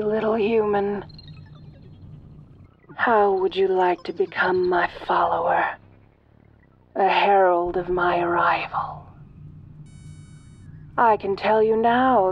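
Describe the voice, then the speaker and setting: Woman playing a slow-talking, domineering ancient alien goddess. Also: medium pitch, dull audio, a little hiss or hum, lightly acted. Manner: slow, imperious, formal, silky. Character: ancient domineering female alien, godlike, sinister and seductive. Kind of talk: soft-voiced audio roleplay, addressing the listener.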